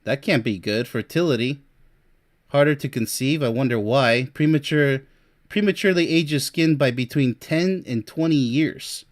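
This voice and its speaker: A man's voice: medium pitch, normal speed. Forties male vlogger, mid-range voice, blunt and sarcastic, boastful, laughs at his own jabs. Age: 40s. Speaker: relationship coach and vlogger. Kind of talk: casual monologue reading from a list. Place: Europe.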